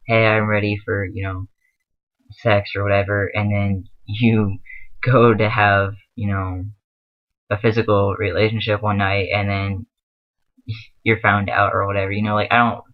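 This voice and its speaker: A man's voice: fairly low pitch, narrow, tinny audio. A young man giving earnest, rambling advice in a casual vlog, with many fillers. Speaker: vlogger.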